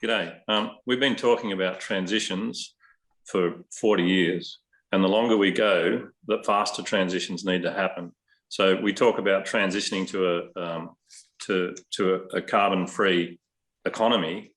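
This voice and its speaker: Low voiced aussie bloke arguing his position as a candidate in an online forum. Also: fairly even intonation, normal speed, fairly bright tone. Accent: Australian. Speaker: election candidate. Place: online video call.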